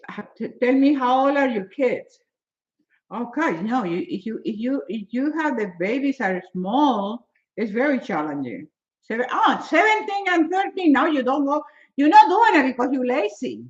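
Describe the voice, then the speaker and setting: Woman in her seventies, emphatic, repetitive, bit high-pitched, muffled stream audio. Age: 70s. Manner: emphatic, repetitive, non-native phrasing. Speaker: fitness motivational speaker. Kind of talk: live-stream chat answering viewers.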